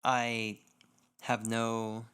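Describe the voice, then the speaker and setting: Smooth, clear-voiced young man chatting casually. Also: medium pitch, monotone, very slow, fairly bright tone. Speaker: podcast co-host. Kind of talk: casual gaming podcast chat.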